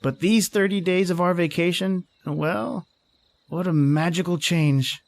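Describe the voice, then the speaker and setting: A man's voice: sits high, unhurried, vocal fry. A man playing a teasing, romantic astronomy professor husband in a radio drama, with playfully pompous, wordy delivery. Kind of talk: old-time radio drama acting.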